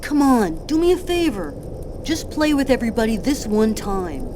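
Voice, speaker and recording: A woman's voice: on the high side, big pitch swings, moderate pace. A woman voicing a bossy but caring child in a video game, blunt in manner, with noise behind. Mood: exasperated, urging. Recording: clear ambient vehicle noise behind.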